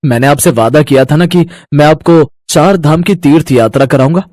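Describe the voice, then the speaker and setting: A woman's voice: low voice, normal speed, darkish tone, no room sound, studio quality, pitched below the performer's own voice. A woman voicing a dutiful adult son in a cartoon moral story, earnest and respectful. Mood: earnest, proud.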